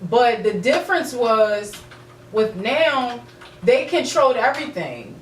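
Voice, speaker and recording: A woman's voice: fairly high pitch, sing-song, unhurried. A woman chatting casually on a podcast in conversational, profane slang. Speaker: music artist, podcast guest. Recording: roomy, echoey, distant mic, background noise.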